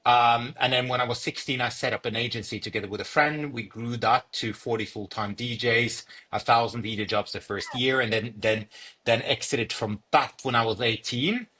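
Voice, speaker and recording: A young man from Norway answers questions about his startup in a bright, forceful voice. He speaks in a halting way and keeps repeating words and filling pauses. His pitch sits in the middle for a man. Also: fairly even intonation. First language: Norwegian. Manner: hesitant, many fillers and repeated words. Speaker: startup founder. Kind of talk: interview answers.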